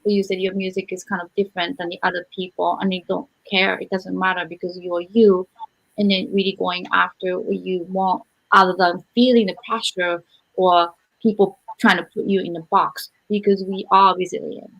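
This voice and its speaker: A woman's voice: fairly low pitch, flat delivery, moderate pace, vocal fry, fairly bright tone, highs cut off. A woman from Japan, speaking English as a second language, hosting a podcast interview in an earnest, emphatic way. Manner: earnest, emphatic, repeats points for stress. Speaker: podcast host. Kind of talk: conversational interview.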